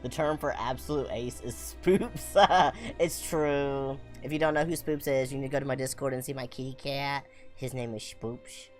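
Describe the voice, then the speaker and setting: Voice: mid-range voice, fairly even intonation, normal speed, few pauses. A playful, chatty streamer giving casual game commentary, musing aloud and reading the text out. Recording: game music under the voice. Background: game music.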